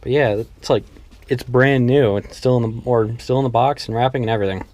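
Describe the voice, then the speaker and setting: A man's voice: medium pitch, brisk, darkish tone. A man talking informally and thinking aloud as he works, in the style of a casual hands-on DIY vlog. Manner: loose, informal, thinking aloud while working. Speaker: vlogger restoring an old truck. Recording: clear background ambient noise.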